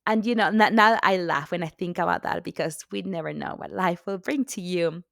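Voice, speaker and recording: Low, gravelly woman from Argentina. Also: a little fast, no echo, clean studio sound. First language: Spanish. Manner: chatty, laughs often, self-correcting restarts. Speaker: author guest. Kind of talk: podcast interview.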